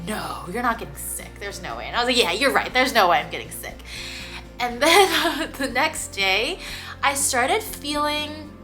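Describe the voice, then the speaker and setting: A young woman talks casually to her camera, filling her sentences with "like" and breaking into quick laughs. Her voice sits a little high and sounds bright and forward, with a faint stuffiness from congestion. Soft music plays quietly behind her. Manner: chatty, many "like" fillers, quick laughs. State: slightly stuffy nose. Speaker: vlogger.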